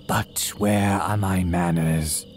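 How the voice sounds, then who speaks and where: An actor playing a very old, sinister yet humble cemetery priest in a solemn, archaic radio horror monologue. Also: male voice, deep voice, steady tone, faint music behind. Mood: ominous welcome. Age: older. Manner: archaic, solemn, drawn-out dramatic delivery. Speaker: horror storyteller.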